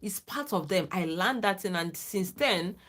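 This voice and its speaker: A woman's voice: lowish voice, lively intonation, a little slow, a bit bright. A woman, not a native English speaker, hosting a livestream and giving earnest, casual advice to viewers, often asking "okay?" to check they follow. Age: adult, former lecturer. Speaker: livestream host.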